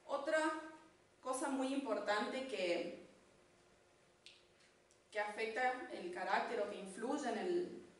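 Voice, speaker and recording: A woman from Argentina with an Argentine accent, giving a church teaching talk in an unhurried way, with fillers and restarts. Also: on the high side. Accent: Argentine Spanish. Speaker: church speaker. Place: church hall. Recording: echoey hall, distant mic, faint noise.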